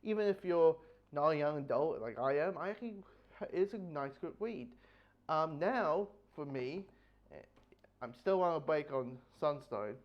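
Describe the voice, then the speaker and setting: Halting man reviewing, slightly high voice, dull audio. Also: expressive. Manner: halting, rambling, repeats words and restarts often. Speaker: book review host. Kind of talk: casual unscripted review chat.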